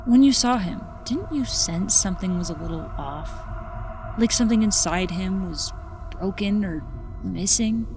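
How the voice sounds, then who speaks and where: A woman playing a protective, frank teenage high-school girl in a comic fan dub. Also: measured pace, darkish tone, soft background music, close to an everyday voice. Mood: ominous, earnest.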